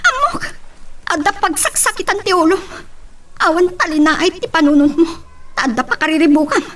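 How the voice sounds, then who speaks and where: An actress plays a suspicious young Ilocano wife from the Philippines in a radio-style love drama. Her voice is high and plaintive, pressing with doubt and hurt. It sits some distance from the microphone. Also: lively intonation, normal speed, fairly bright tone, a little hiss or hum. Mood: hurt, accusing. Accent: Filipino, Ilocano. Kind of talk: Filipino radio-style romance drama acting.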